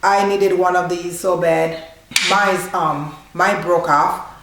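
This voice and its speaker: A woman's voice: fairly low pitch, fairly even intonation, slow pace. A woman in her thirties hosting a casual unboxing vlog at home, chatty and informal, exclaiming with delight. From Haitian background. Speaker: cooking channel host. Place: small living room at home, indoors. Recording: echoey room, clear background noise.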